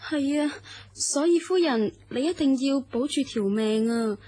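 A woman acts out a young maidservant for radio drama. Her voice is high, with an anxious, fussing manner and the deferential pleading of someone devoted to her mistress. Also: lively intonation, measured pace, dark tone. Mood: pleading, earnest. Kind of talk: Cantonese radio drama acting.

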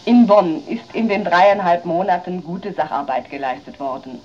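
An actress playing a sober, earnest parliamentary delegate of the late 1940s in a measured speech. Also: female voice, on the low side, lively intonation, lo-fi sound, crowd noise, close to an everyday voice. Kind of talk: acted speech from historical minutes.

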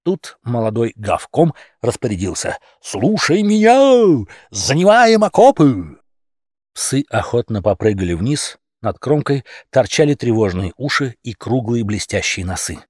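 A man narrating a humorous animal tale as an audiobook, in an expressive, theatrical storytelling manner. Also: lowish voice, normal speed, hardly any pauses, husky, no echo, clean studio sound.

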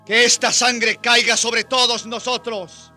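A man's voice: very high-pitched, level tone, slow, pushed and bright, faint music behind, heavily acted. A man playing an earnest, solemn colonial priest who defends native people, in preaching radio drama style. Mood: solemn, impassioned.

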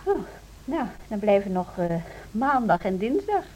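An actress playing a patient music teacher in a radio drama, coaxing and questioning a young pupil. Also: female voice, mid-range voice, sing-song, a little slow, mellow. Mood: patient, probing. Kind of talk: naturalistic radio drama dialogue.

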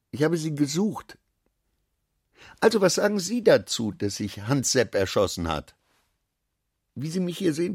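A man's voice: medium pitch, creaky, a little mellow, breathy edge, dry recording, clean studio sound, exaggerated voice. An actor playing a garrulous, self-satisfied middle-aged businessman in a radio drama, chatty and given to philosophizing.

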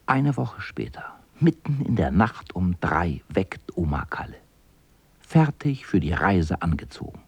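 Gravelly male storyteller, expressive. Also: low voice, measured pace. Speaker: radio drama narrator. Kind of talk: scripted storytelling narration.

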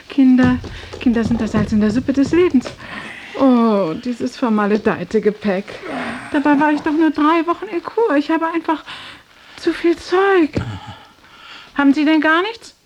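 Whiny fussy lady in a radio drama, really high voice, slight background noise. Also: muffled recording. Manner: fussy, plaintive. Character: fussy, self-pitying woman burdened with luggage.

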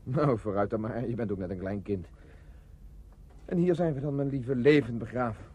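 A man's voice: mid-range voice, moderate pace, darkish tone, lightly acted. An actor playing a teasing, commanding young man in a 1960s radio drama, theatrical and emphatic. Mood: mock solemn. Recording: noisy old radio recording.

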